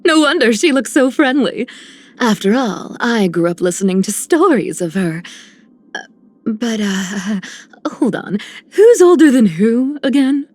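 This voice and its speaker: A woman's voice: fairly high pitch, lively intonation, measured pace, darkish tone. An actress playing a laid-back, playful young woman in a game, musing as she talks. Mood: curious, puzzled. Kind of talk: scripted game character lines.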